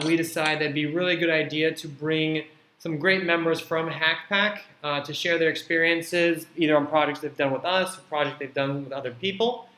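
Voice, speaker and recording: Brief: male panel host, bright voice, slightly high, echoey room with faint hiss, casual filler-heavy delivery. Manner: loose, filler-heavy explaining. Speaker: host and platform founder. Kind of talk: moderating an online panel. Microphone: video call.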